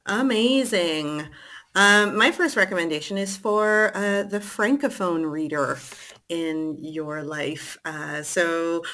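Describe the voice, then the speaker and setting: Slow-speaking woman, French native speaker. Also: lowish voice, pushed and bright. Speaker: podcast co-host. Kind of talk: casual book recommendation chat.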